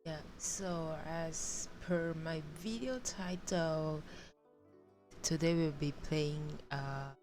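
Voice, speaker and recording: Low-voiced chatty woman streaming a game. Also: steady tone, takes it slowly. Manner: chatty, colloquial, reacting aloud to the game. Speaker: game livestream host. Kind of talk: casual livestream commentary with dialogue read aloud. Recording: game music behind the voice. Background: game music.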